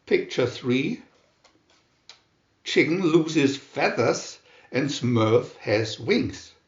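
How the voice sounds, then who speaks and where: A man narrates a children's storybook with great deliberation, taking his time over each phrase. His intonation rises and falls in a lively, expressive way. The recording is slightly muffled and sounds like a reverberant room. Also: mid-range voice, very slow. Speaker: storybook reader.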